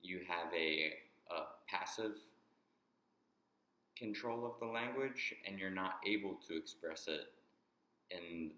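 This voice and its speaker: A man explaining a topic in a halting way, often restarting and repeating words, and speaking English as his first language. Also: deep voice, sharp tone, echoey room, poor audio. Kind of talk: explanatory monologue.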